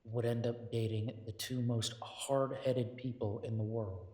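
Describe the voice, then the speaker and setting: A man playing a caring, weary werewolf detective boyfriend in an intimate roleplay audio drama, speaking in an earnest, gently coaxing, conversational way. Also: medium pitch, fairly even intonation, unhurried, breathy edge, no echo. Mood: earnest, exasperated.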